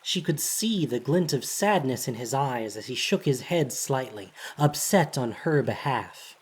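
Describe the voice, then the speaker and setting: A narrator with a husky, breathy voice reads a dramatic story in measured, solemn, deliberate phrases. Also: medium pitch, expressive.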